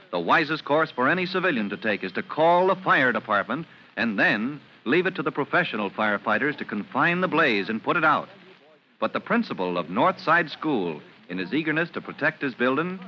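A man's voice: mid-range voice, very animated, brisk, a little hiss or hum. A man announcing a children's radio serial in scripted, old-time style. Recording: muffled old radio recording.

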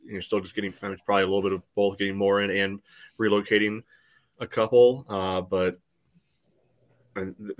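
Male co-host, lowish pitch, rambling and conversational, casual sports podcast. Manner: loose, meandering, peppered with "you know".

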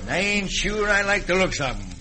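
An actor playing a folksy, plain-spoken American shipping superintendent in an old radio western. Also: male voice, high-pitched, very animated, a little fast, pushed and bright, exaggerated voice. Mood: worried. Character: hearty, worried Old West shipping superintendent. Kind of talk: classic radio western acting. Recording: old radio recording with background voices.

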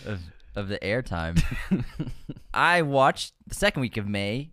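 Smooth-voiced man co-hosting a podcast. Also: mid-range voice, no echo, studio quality. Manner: laughs often, quick excited bursts. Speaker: podcast co-host. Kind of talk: casual chat.

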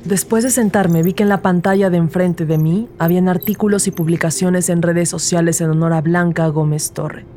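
A woman acting as an earnest young-on-the-job detective, telling her case in an audio drama. Her voice is mellow and rather low, measured and descriptive, with music underneath. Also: steady tone, natural voice. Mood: measured, narrating. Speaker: narrator and lead character. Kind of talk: scripted audio drama narration.

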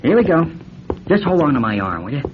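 Excitable, suspicious man, swooping pitch. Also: fast talker. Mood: helpful. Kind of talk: radio drama acting. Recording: dull lo-fi radio recording, faint noise.

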